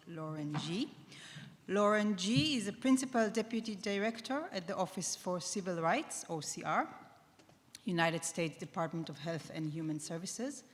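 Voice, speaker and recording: A woman moderating a summit, reading a speaker's introduction in a formal, measured way. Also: fairly low pitch, slight background noise. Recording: long hall reverb.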